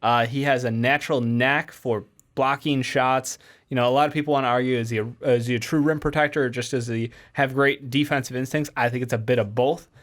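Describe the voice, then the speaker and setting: A man's voice: medium pitch, fairly even intonation, a little fast, fairly bright tone. A man giving casual sports analysis as a co-host, with a wry, joking manner.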